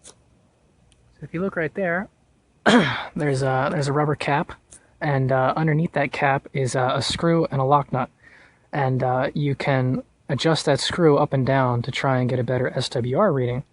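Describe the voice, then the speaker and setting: A man giving a casual hands-on how-to in an informal, halting way, thinking aloud as he goes. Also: mid-range voice, dry recording. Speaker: vlogger showing a car radio install.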